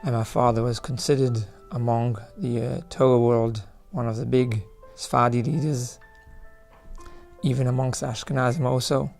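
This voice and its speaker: A man's voice: medium pitch, fairly even intonation, normal speed, vocal fry, darkish tone. A man from England, a rabbi, recounting his life in a video testimonial. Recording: music playing behind the voice.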